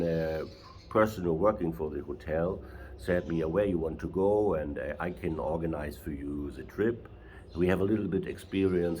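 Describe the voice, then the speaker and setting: A German man talking in halting, non-native English with many restarts, interviewing a driver. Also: sits low, darkish tone. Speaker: traveler and interviewer. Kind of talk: casual interview.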